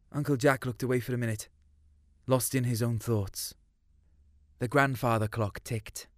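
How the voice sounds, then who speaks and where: A man's voice: medium pitch, no echo, studio quality. A man narrates a short story in the first person, in a colloquial, confiding way.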